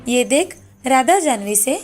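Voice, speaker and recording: A woman's voice: high voice, animated, measured pace, darkish tone. A woman narrator reading a scripted moral fairy tale as storytelling. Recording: background music under the voice.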